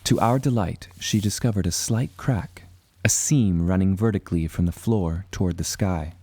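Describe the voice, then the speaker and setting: An earnest adventurer recounting his voyage. The man's voice is deep and mellow, with measured, formal phrasing suited to dramatic audio storytelling. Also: husky, dry recording. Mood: solemn. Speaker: narrator and protagonist. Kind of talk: scripted first-person adventure narration.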